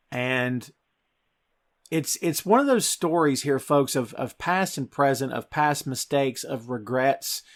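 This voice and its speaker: A man hosting a film review podcast, talking to listeners in an emphatic, excitable way. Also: medium pitch. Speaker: podcast host.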